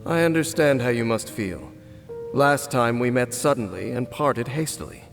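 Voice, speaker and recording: An actor playing a solemn, guarded former royal guard captain in a video game, speaking formally and with measured, brooding deliberation. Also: male voice, mid-range voice, animated, vocal fry, soft background music. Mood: grave, composed. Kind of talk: video game character acting.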